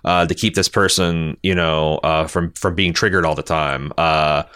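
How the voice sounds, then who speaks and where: A man hosting a podcast, discussing television casually in a halting, rambling way and often repeating words as he reasons. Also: a bass voice, steady tone, a bit bright, clean tone. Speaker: podcast host reading listener feedback.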